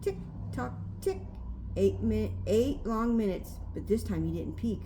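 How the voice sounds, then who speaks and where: A woman's voice: mid-range voice, lively intonation, measured pace, noisy background. An older woman, a grandmother reading a storybook aloud to a child in a homely, chatty way. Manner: homely, chatty, pointing things out.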